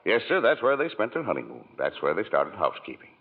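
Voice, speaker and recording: A fatherly senior angel tells the story calmly, in a very deep, husky voice. His delivery is unhurried, in old radio style, and comes through a thin, tinny broadcast sound. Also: heavily acted. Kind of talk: 1940s radio acting.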